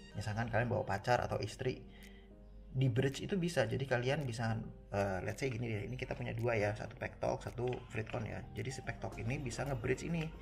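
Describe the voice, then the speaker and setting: A man's voice: medium pitch, creaky. A man with a Jakarta Indonesian accent giving an informal, conversational product review, explaining things casually to viewers. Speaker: motorcycle gear reviewer. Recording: music behind the voice. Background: background music.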